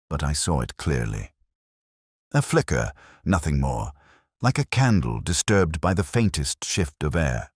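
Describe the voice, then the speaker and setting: Really deep male narrator reading a moody detective story, measured but expressive pitch, dry close sound. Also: no room sound. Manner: measured, literary, brooding delivery. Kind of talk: scripted first-person detective story narration.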